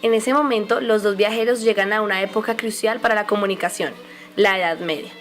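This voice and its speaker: A woman's voice: medium pitch, fairly even intonation, fairly quick, a bit bright, soft background music. A woman reading scripted narration for a radio drama.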